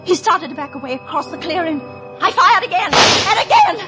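A woman's voice: high-pitched, fast talker, over loud music, lightly acted. An actress playing a terrified, frantic married woman in a 1940s radio suspense drama, breathless and overwrought. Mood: breathless, panicked.

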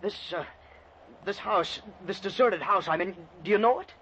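A man's voice: sits high, normal speed, a bit bright. An actor playing a nervous, stubborn husband in a radio play, stammering and blustering as he talks. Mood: uneasy. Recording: dull lo-fi radio recording, background hiss.

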